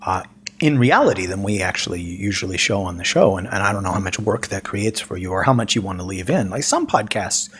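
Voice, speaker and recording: A man's voice: low-pitched, animated, brisk, fairly bright tone. Guy who stammers a lot, dry teasing humor, podcast chat, faint hiss. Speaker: podcast co-host. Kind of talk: casual chat.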